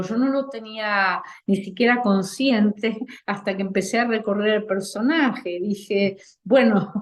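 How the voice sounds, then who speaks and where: Slow, thoughtful Argentine woman. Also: mid-range voice. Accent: Argentine Spanish. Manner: thoughtful, measured, pauses with fillers. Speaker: actress and theater director, guest. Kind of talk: reflective interview conversation.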